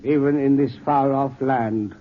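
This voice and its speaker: An actor playing a devoted old foreign manservant, formal and portentous, in a 1940s radio drama. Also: male voice, mid-range voice, steady tone, a little slow, lightly acted. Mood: solemn, ominous. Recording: dull 1940s radio recording with faint hiss.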